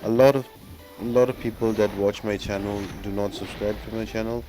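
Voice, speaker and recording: A man's voice: lowish voice, fairly even intonation, a little fast. A man who hosts a gaming channel, giving casual, instructional walkthrough commentary and talking directly to his viewers. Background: music.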